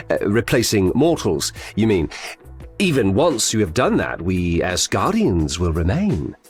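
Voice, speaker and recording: Scheming game trickster god, wildly swooping pitch, unhurried, silky, mocking, music behind. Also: male voice, very animated, exaggerated voice. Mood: smug, teasing. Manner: silky, theatrical, mockingly courteous. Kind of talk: video game character voice lines.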